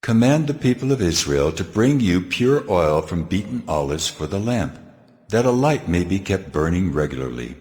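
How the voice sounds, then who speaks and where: God, very deep and commanding. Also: male voice, very animated, moderate pace, darkish tone. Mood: solemn, commanding. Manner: solemn, authoritative, declarative. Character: God, solemn commanding deity giving laws. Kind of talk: dramatized scripture audio reading. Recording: music under the voice.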